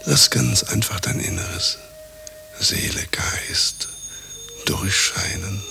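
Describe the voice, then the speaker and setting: An actor playing a fussy, vain, clingy husband in a radio play, coaxing and preening, easily stressed. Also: male voice, fairly low pitch, animated, slow pace, gravelly, pushed and bright, in a whisper, soft background music, exaggerated voice. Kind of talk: radio drama acting. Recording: audible background hiss.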